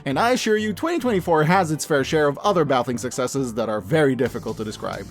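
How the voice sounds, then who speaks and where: A man's voice: medium pitch, quick pace, soft background music. A man hosting a scripted media review, speaking casually and emphatically with enthusiasm and salty language. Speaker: host of a media review channel.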